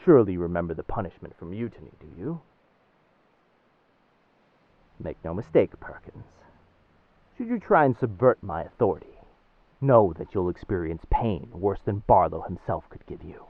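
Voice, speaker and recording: A low-voiced pirate captain, acted by a man for an audio drama, roguish and commanding, with old seafaring turns of phrase. The delivery swings widely in pitch, able to be both tender and menacing. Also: very animated, fairly quick, dry recording, phone-quality sound. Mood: menacing, indignant. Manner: old seafaring diction, addresses others as lass and sir. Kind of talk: roleplay audio drama, speaking to the listener.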